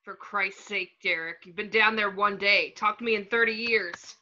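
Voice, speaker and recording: A woman playing a gruff, disapproving coal-miner father in an amateur script read over a video call. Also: medium pitch, fairly even intonation, moderate pace, pushed and bright. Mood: bitter, scornful. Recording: slightly dull video-call audio.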